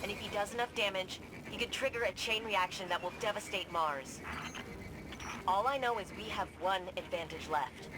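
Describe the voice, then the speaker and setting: An actress playing a driven, quick-thinking engineer who gives urgent, direct instructions. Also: female voice, medium pitch, noisy background, lightly acted. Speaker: engineer guiding the player.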